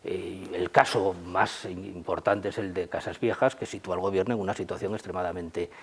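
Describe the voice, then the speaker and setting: A man with an accent from Spain, speaking in a halting, emphatic academic manner in an unscripted history discussion. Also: low voice, fairly even intonation, slightly rough. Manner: halting, emphatic, academic phrasing with repeated words. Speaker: presenter and discussant.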